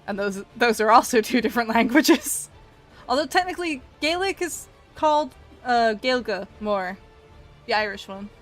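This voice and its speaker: A woman's voice: a little high, lively intonation, moderate pace, fairly bright tone. Woman, 20s, Scottish-Canadian accent, giggly casual streamer chatting over faint music. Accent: mixed Scottish and Canadian. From Scotland. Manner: chatty, laughs often, answers chat aloud. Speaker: art streamer. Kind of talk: casual live-stream chat while drawing.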